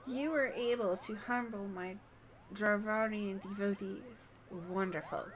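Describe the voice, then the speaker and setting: A woman's voice: mid-range voice, steady tone, a little slow, lightly acted, rough recording. A woman reading aloud the game dialogue of a vain, flowery little moogle with pompous, ornate phrasing. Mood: vain, smug.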